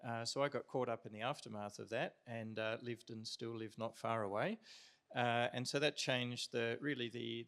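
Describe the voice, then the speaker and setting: A middle-aged man speaking on a panel, measured, with many uhs. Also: mid-range voice, a bit bright. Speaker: psychologist, disaster recovery panelist. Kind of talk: panel discussion.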